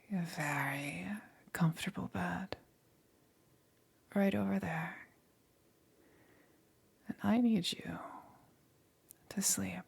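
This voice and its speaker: Woman doing demon queen asmr, possessive n doting, whispery w some creak, coaxing her pet real slow. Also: fairly low pitch, heavily acted. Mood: soothing, coaxing. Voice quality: breathy, mostly whispered. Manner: slow, coaxing, condescending praise. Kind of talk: ASMR roleplay.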